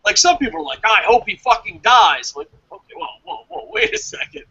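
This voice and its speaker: A man's voice: high voice, huge pitch swings, a little fast, sharp tone, breathy edge, dull audio. A man chatting casually as a review show host, opinionated and emphatic, swearing freely and rambling as he goes.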